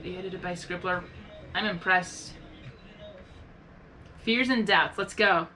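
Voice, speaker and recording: A woman's voice: on the low side, takes it slowly, pushed and bright, faint music behind. A woman hosting a live reaction video, chatting in a rambling way and often searching for the right words. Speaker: reaction channel host. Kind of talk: casual live reaction commentary.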